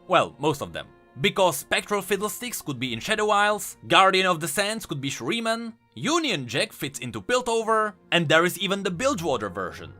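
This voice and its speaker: A man's voice: high-pitched, very animated, brisk, hardly any pauses, pushed and bright, faint music behind. A man hosting a scripted explainer, narrating lore in an emphatic, storytelling way. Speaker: video host and lore commentator.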